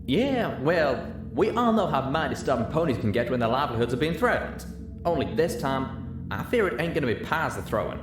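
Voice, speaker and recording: A man's voice: medium pitch, big pitch swings, a little fast, reverberant, music in the background, pitched above the performer's own voice, lightly acted. A man voicing a plain-spoken female farm pony with a folksy Southern US drawl, in a story reading. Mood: grave.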